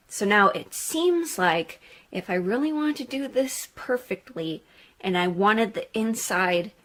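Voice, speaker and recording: A woman's voice: medium pitch, fairly bright tone. Woman rambling candidly through a sewing vlog. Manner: rambling, candid thinking aloud. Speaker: sewing vlogger. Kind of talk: casual sewing vlog chat.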